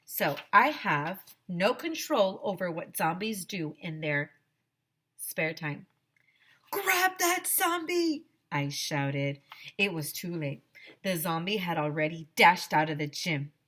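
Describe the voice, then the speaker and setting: A woman teacher reading a children's story aloud expressively, with dramatic pauses and direct asides to young listeners. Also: deep voice, fairly bright tone.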